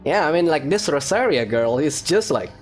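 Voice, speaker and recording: A woman giving casual, playful game commentary. Her voice is very low for a woman but swings about in a lively way. She reacts aloud as she plays, with game music behind her. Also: moderate pace. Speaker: gaming let's-play host.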